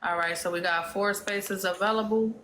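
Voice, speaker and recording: A woman's voice: medium pitch, normal speed, a little hiss or hum, poor audio. A woman hosting a live online panel, calling people up one by one and keeping things orderly. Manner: steady, organizing, calls on speakers. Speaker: host moderating a live panel. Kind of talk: casual live-stream moderation.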